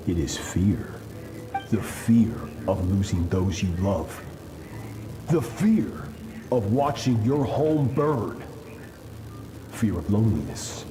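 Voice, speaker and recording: A man voicing an ominous, powerful villain in a video game, grave and menacing, at times dropping to a whisper. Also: lowish voice. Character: ominous, powerful male sinner villain preaching fear. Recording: echoing game voice under music. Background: game music.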